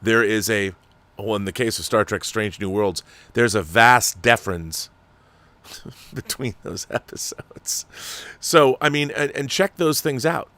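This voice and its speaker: A man's voice: fairly low pitch, unhurried, sharp tone, no room sound. A man hosting a show that reads viewer letters, giving emphatic, critical commentary and often restarting his sentences. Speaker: host reading viewer letters. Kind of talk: opinionated commentary.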